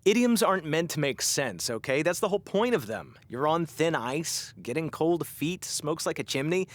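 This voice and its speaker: An actor playing an awkward, hesitant small-town radio host who rambles dryly in an audio comedy. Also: male voice, a little high, lively intonation, normal speed, lightly acted. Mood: argumentative.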